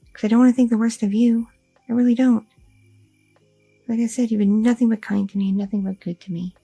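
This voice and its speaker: A woman's voice: mid-range voice, gravelly, warm tone, husky. A woman playing an anxious, devoted young goth girlfriend in an ASMR audio roleplay, hesitant and rambling, often repeating herself. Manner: hesitant, stammering, self-interrupting, repeating words.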